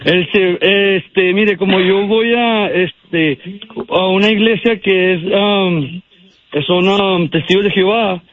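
Young high-voiced man calling in. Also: slow. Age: 20s. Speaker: radio show caller. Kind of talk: casual chat. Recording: dull lo-fi call on radio.

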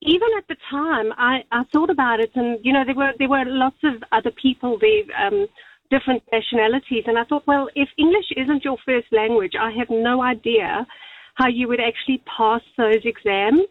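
A woman's voice: a little high, normal speed, dry recording. A woman calling a radio show by telephone, giving a conversational personal account. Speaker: radio phone-in caller. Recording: thin, narrow telephone line.

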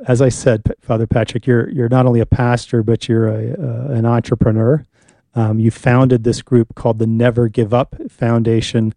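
A man hosting a live radio talk show from the studio, relaxed and chatty, with many pauses and fillers. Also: medium pitch, no echo.

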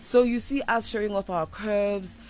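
A young South African woman with a deep voice, talking candidly and playfully as an interview guest, slipping into Zulu at times. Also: moderate pace, darkish tone. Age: young adult. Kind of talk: casual radio interview, storytelling.

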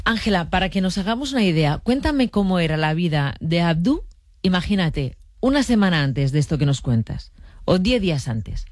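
A woman's voice: lowish voice, sing-song, moderate pace, darkish tone, no echo, studio quality. A woman hosting a conversational interview in an informal, reassuring way. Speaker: interviewer, radio host.

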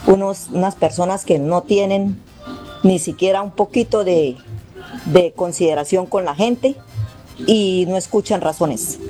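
Woman, radio talk, indignant, hesitant, warm tone. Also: lowish voice, measured pace, soft background music. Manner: indignant recounting, hesitant fillers.

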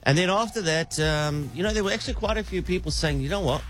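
A middle-aged man with a South African accent, hosting breakfast radio and telling stories in an animated way with lots of restarts, speaking into a studio mic. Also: sits high, fast, low-quality recording. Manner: animated storyteller with frequent restarts. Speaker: radio show host, prank caller. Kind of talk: casual breakfast radio banter. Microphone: studio microphone.

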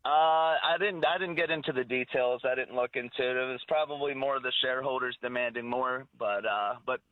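A man's voice: mid-range voice, fairly quick, dry recording. A male caller phoning into a radio show, chatty and self-deprecating, laughing often. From Pittsburgh area. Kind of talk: phone-in call on a radio show. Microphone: phone. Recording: muffled phone line.

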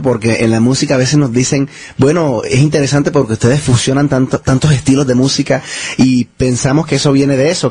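Husky man, eager rambling guest. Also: mid-range voice, a little mellow. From United States. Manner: enthusiastic, rambling with restarts. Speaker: band leader guest. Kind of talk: radio interview answers.

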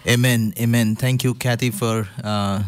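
A man's voice: mid-range voice, fairly even intonation, a little slow. A man acting as host, thanking and introducing guest speakers at an event. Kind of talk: event hosting. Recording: clearly noisy background with clicks.

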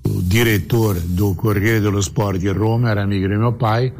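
A man's voice: fairly low pitch, fairly even intonation, vocal fry, darkish tone, faint music behind. An older man who grew up in Italy, telling family stories unhurriedly in an archived interview. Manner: unhurried, anecdotal, quotes relatives.

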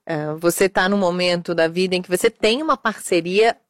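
A woman from Portugal, interviewing in a gentle, thoughtful way with long reflective questions. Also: fairly low pitch, a little fast, no echo, studio quality.

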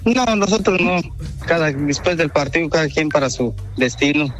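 A man from Guatemala answers shyly in short, hesitant replies. His voice sits a little high. Clear music plays behind him. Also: expressive, moderate pace, a bit bright. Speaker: prank call target.

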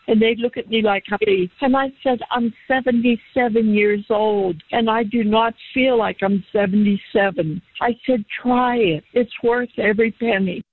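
Give me an older woman in her seventies praising a product over a phone line, slightly high voice. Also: steady tone, measured pace, hardly any pauses, no echo. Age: 70s. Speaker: customer giving a testimonial. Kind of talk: phone-in testimonial.

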